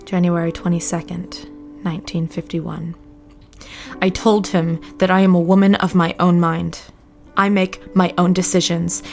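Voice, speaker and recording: An actress performs a bitter young 1950s wife in an audio drama diary monologue. Her brooding delivery is very slow and fairly flat, full of heavy pauses, with music playing clearly behind her. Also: fairly low pitch. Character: bitter, haunted nineteen-year-old 1950s wife reciting her diary.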